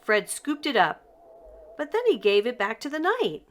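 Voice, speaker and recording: An older woman reading a children's picture book aloud in an expressive, deliberate storytelling manner. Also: on the high side. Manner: slow, deliberate, animated delivery for children. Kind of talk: expressive scripted read-aloud narration.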